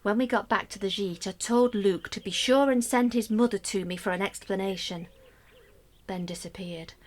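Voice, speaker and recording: An actress playing a wistful middle-aged mother in a radio play monologue, confiding and measured, often dropping almost to a whisper. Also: medium pitch, close to an everyday voice. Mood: wistful, sad. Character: middle-aged wife and mother, wistful, secretly grieving a love affair. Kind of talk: intimate dramatic monologue, scripted radio play narration.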